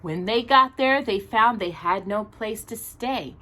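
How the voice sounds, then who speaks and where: Storytime lady reading to kids, bright clear-cut delivery, takes it slow, casual little asides. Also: medium pitch. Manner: animated, deliberate storybook delivery. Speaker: storytime channel host reading to children. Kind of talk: expressive read-aloud storytelling with casual asides.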